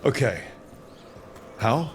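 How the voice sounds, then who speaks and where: An actor playing an easygoing, laconic adventurer in a video game, casual and plain-spoken. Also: male voice, medium pitch, big pitch swings, extremely slow, a little hiss or hum. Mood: businesslike. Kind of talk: video game character acting.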